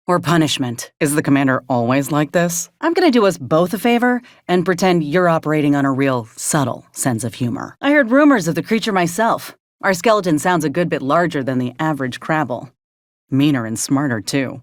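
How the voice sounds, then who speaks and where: A stubborn frontier soldier in a video game. Her voice sits low and moves freely up and down, with folksy, blunt phrasing and a dry wit. The sound is close and dry. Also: dry recording. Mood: measured, wry. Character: plainspoken, stubborn woman soldier with frontier drawl. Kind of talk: video game character dialogue.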